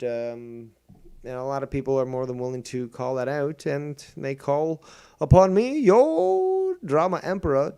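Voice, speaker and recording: A man's voice: mid-range voice, dark tone. A man hosting a livestream, talking in a combative, mocking and profane way. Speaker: streamer host. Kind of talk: livestream commentary.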